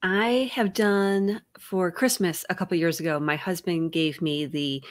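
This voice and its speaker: A woman's voice: lowish voice, steady tone. A middle-aged woman co-hosting an interview over a video call, chatty and thoughtful. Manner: chatty, thoughtful, quick asides. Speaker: podcast co-host. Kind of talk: casual interview chat.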